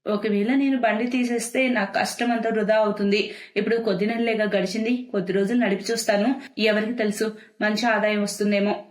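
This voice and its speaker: A woman's voice: mid-range voice, steady tone, a little fast, echoey room, natural voice. A woman voicing an earnest, devoted young village wife in distress for a moral cartoon story, with a pleading manner. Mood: worried.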